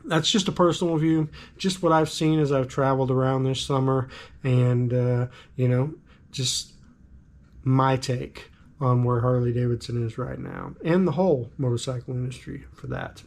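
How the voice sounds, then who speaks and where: Raspy man with a cold, vlogging. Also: mid-range voice, steady tone, moderate pace. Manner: musing, frequent ums. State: has a cold. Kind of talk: casual talk to camera.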